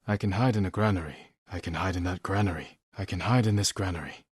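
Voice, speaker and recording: An actor playing a stoic, honorable samurai warrior in a video game, weary and grave, speaking in a measured, restrained and protective manner. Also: male voice, low voice, slightly rough, no room sound, studio quality. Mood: grave, resolute. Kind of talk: dramatic video game voice acting.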